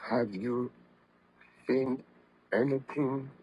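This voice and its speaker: A man's voice: medium pitch, fairly even intonation, very slow, husky. An actor playing an anguished, guilt-ridden nobleman scientist in an old-time radio horror drama, speaking in formal, fervent melodrama. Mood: weary, evasive. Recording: vintage radio drama recording, slightly muffled.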